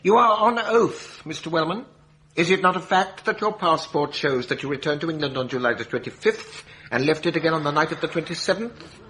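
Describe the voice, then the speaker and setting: An actor playing a formal, insistent defence barrister who questions precisely in a radio courtroom drama. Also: male voice, a little high, expressive, brisk, fairly bright tone, a little hiss or hum, low-quality recording. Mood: pressing.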